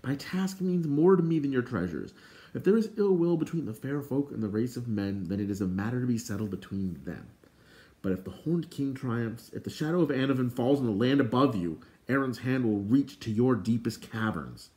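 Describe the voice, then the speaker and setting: A man's voice: medium pitch, animated, moderate pace, mellow, pitched above the performer's own voice, natural voice. Man as an earnest, solemn young hero. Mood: solemn, resolute. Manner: solemn, formal speech. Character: earnest, dignified young male fantasy hero. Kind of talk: audiobook character voice.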